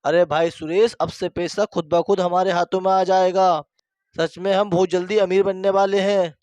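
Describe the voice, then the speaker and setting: A man acts out a scheming, greedy village thief from a cartoon moral tale. The voice is high and smooth, with boastful, exclamatory delivery and a dry sound. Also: level tone, normal speed, darkish tone, no room sound, natural voice. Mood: boastful, excited. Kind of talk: cartoon moral story dialogue.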